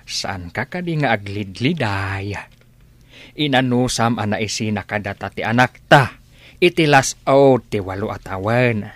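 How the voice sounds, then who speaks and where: An actor playing a patient man gently reassuring someone in a Philippine radio drama. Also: fairly low pitch, a little slow, dry recording. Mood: consoling. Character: patient, consoling man comforting a worried mother. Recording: old radio drama recording with music underneath. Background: background music.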